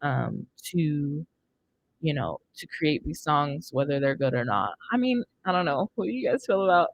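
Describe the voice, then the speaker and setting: A woman's voice: sits low, big pitch swings, normal speed, vocal fry, slight background noise. A young adult woman hosting a podcast, chatting casually into a close studio microphone. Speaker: podcast host. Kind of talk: casual chat. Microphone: close studio mic on boom arm.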